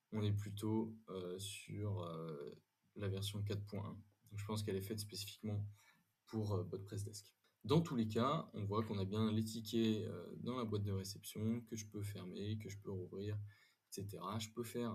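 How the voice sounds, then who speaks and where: A man with a low, husky voice walks through a software demo. His delivery is informal and halting, with many small hesitations as he explains each step. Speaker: software tutorial presenter. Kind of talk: informal screen-share software demo and review.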